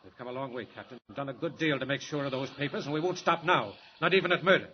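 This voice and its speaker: An actor playing a ruthless, smooth-talking criminal in a 1940s radio drama, giving clipped, coolly menacing commands. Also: male voice, medium pitch, fast talker, distant mic, crowd noise, close to an everyday voice. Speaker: villain Bart. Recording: dull old radio recording with roomy echo from off-mic.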